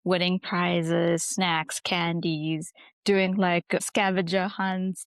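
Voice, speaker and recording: A woman's voice: fairly low pitch, little pitch movement, drawn-out words, clear, resonant tone, no echo. A young woman from South Africa with a South African accent, telling her story as a guest in a casual interview, chatty and full of fillers. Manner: chatty, laughs easily, many "like" fillers. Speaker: guest, English teacher.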